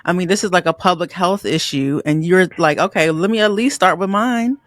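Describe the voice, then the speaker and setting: A woman's voice: lowish voice, a little fast, no echo, studio quality. A woman hosting a casual interview, asking questions and affirming her guest often. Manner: warm, affirming, frequent laughs and "mm-hmm". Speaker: podcast host.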